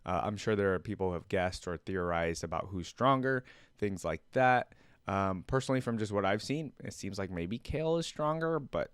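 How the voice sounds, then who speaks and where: A man's voice: fairly low pitch, lively intonation, moderate pace, smooth, no echo, studio quality. A man hosting a reaction video in a casual, off-the-cuff way, rambling as he thinks aloud. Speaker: host of a reaction video channel.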